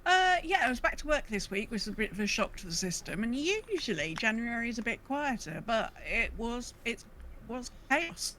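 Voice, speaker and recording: A woman with a slightly high voice hosts a podcast. She talks in a casual, conversational way. There is some noise behind her voice. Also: very animated, moderate pace, bright, forceful tone. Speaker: podcast host.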